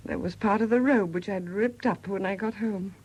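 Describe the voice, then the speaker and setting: An actress in a 1970s British radio drama playing a widowed murderess who confesses in a hesitant, confiding manner. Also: female voice, medium pitch, normal speed, darkish tone, breathy edge, close to an everyday voice, rough recording. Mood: weary confession. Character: quiet confessing murderess, a Welsh sculptor's widow.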